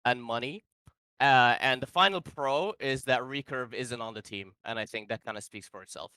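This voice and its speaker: A young man makes a joking pitch for his team, mock-formal and deadpan, with plenty of ums. His voice is bright and crisp. The sound is close and dry. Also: moderate pace, no echo. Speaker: team captain.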